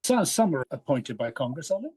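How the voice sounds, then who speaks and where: A man's voice: fairly high pitch, big pitch swings, fairly quick, warm tone, breathy edge, dry recording. A man chipping in conversationally during a group discussion. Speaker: discussion participant. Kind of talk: casual discussion.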